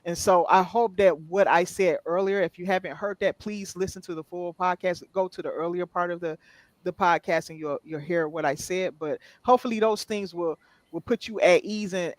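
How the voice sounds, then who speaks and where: Man, high voice, dry close sound, podcast guest, reassuring, explains things. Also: fairly even intonation, moderate pace, no echo. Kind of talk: casual chat.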